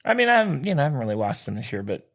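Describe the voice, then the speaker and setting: A man's voice: mid-range voice, sing-song, rapid-fire. A man co-hosting a sports podcast, chatting casually in a blunt, slangy, teasing way.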